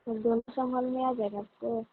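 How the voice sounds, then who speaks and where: High-voiced woman on a tinny phone line. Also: little pitch movement, moderate pace, dry recording. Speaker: caller. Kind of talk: casual flirtatious phone chat. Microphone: phone call. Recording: thin narrow-band phone line.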